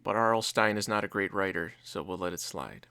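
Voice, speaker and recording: A man's voice: sits low, a little fast, sharp tone, no echo. A man co-hosting a review, casually recapping and commenting on a TV episode. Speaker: reviewer, co-host.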